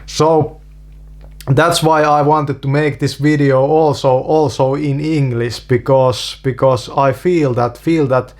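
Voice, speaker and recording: A man with a Finnish accent speaks English slowly and haltingly, circling back over his own words. His pitch sits in an ordinary middle range. He talks to the camera as a relaxed, unscripted monologue. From Finland. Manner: halting, many restarts and repeated fillers. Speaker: video creator.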